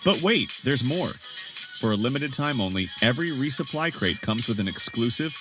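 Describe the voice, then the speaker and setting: A man playing a salesy, mock-sincere pitchman in a scripted parody military propaganda advert. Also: expressive, normal speed, lightly acted. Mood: upbeat selling. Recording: music behind the voice.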